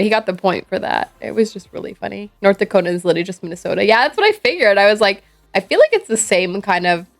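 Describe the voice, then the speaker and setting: A woman from Ohio with a Midwestern accent, chatting casually with her viewers on a livestream and rambling between thoughts. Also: mid-range voice. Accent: Midwestern American. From Ohio, USA. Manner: rambling, chatty, reads out chat.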